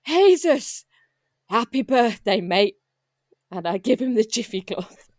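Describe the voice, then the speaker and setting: A woman's voice: fairly high pitch, very animated, takes it slowly, a little mellow, dry recording, clean studio sound. A woman playing and narrating in an improvised comedy roleplay. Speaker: player in a roleplay podcast.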